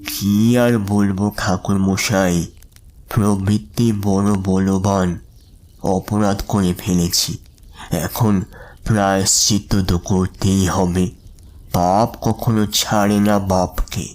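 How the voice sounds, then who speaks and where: Slow, low-voiced humble businessman. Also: flat delivery, a little mellow. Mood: ashamed, pleading. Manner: slow, deferential, halting delivery. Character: troubled middle-aged businessman, humble, deferential, superstitious. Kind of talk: dramatised audio story acting. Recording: music under the voice.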